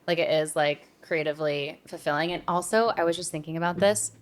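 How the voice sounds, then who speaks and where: Woman chatting casually, slightly low voice. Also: fairly even intonation, fairly quick. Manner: informal, slangy, thinking aloud. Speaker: podcast co-host. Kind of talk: casual podcast chat.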